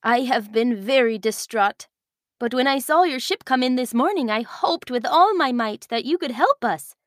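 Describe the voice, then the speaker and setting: A woman's voice: on the high side, expressive, normal speed, dry recording, clean studio sound, voice pushed up, natural voice. A woman voicing an earnest, emotional island village leader, a grown woman, in a children's storybook reading. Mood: pleading.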